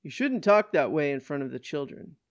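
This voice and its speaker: Reader as a folksy, creaky older policeman. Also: mid-range voice, sing-song, a little fast, no room sound. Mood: admonishing. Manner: folksy, admonishing, explanatory. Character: folksy older male police officer, mildly paternal.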